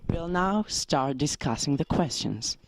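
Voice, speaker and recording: A man's voice: fairly high pitch, expressive, unhurried, no echo. A young man from Greece reading out prepared interview questions outdoors in plain, careful English. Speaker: student interviewer. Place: outdoors in front of a museum.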